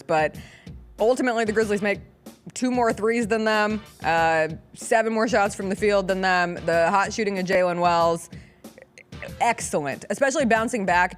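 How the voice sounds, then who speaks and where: A woman with a slightly low-pitched voice hosts a sports talk show. Her delivery is chatty, playful and emphatic, moving between banter and game commentary. Music plays behind her.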